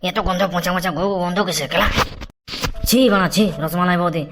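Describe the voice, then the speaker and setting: A man's voice: sits high, steady tone, fast, close to an everyday voice. A man voicing a brash, scheming, foul-mouthed young village man in a crude comedy cartoon, with a rural West Bengal accent. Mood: disgusted. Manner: bossy, crude, boastful.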